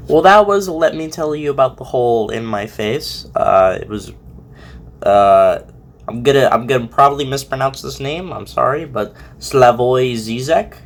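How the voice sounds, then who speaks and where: A man giving casual, unscripted commentary as a host, speaking in a halting, rambling way with many repeated words. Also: mid-range voice, expressive. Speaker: host reacting to a fan fiction audio reading.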